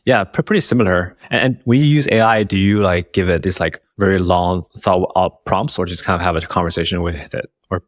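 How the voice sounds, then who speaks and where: Deep-voiced male podcast host, informal. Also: brisk, few pauses, dry recording, studio quality. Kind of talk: casual chat.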